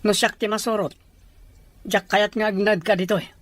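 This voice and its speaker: A woman's voice: medium pitch, fairly even intonation, moderate pace, noisy background, lightly acted. Woman acting a troubled, resistant young man. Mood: indignant. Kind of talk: Ilocano audio drama acting.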